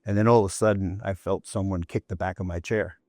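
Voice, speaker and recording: Low-voiced man in his fifties, executive guest, chatty storyteller, dry close sound. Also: steady tone, fast, a little mellow, no room sound. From New York City. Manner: chatty anecdotes with frequent "you know" and "right?". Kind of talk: relaxed storytelling interview talk.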